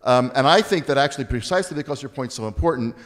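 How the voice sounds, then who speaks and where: A man's voice: mid-range voice, expressive, fast talker. A man from the United States speaks on a discussion panel in a mid-range voice. He answers at length and in earnest, slipping in dry, self-deprecating asides. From Baltimore, USA.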